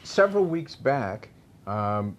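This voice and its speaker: A man on a cigar review panel, telling a long story in relaxed, casual chat and laughing easily with the others. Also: medium pitch, slow pace, slight background noise.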